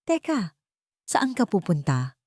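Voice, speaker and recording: A woman's voice: mid-range voice, big pitch swings, takes it slowly, darkish tone, dry recording, studio quality. Woman doing a stern, scornful Filipino security guard. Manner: hard, dismissive.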